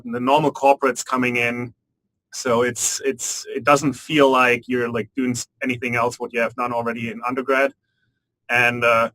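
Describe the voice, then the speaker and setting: A man on a student panel giving an animated, opinionated answer with frequent restarts and repeated words. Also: medium pitch, no echo, low-quality recording.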